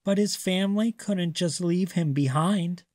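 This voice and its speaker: A man voicing a gentle mother shark in a storybook, patient, soothing and reassuring. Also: high voice, unhurried, no room sound, studio quality, voice pushed up. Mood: comforting.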